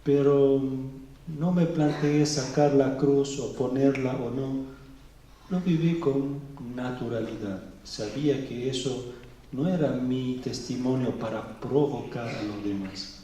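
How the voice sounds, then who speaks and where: A middle-aged man from Venezuela speaking slowly and thoughtfully at a public talk, pausing often. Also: mid-range voice, mellow, a little hiss or hum. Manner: slow and thoughtful, with pauses and fillers. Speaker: Orthodox bishop giving a talk. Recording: echoey hall, mic far off.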